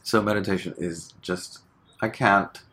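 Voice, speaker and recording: A man from Hong Kong talks as a guest in a loose, easygoing interview, dropping fillers and laughing between thoughts. His voice sits very low, with a husky grain and a bright, forward edge. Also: unhurried. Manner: relaxed, chatty, laughs often, many fillers. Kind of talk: casual interview chat.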